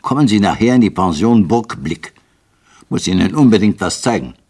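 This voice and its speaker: Man doing a deep hoarse old man, gruff, clipped, grumbling, husky low voice. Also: animated, normal speed, darkish tone. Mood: matter-of-fact. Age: older.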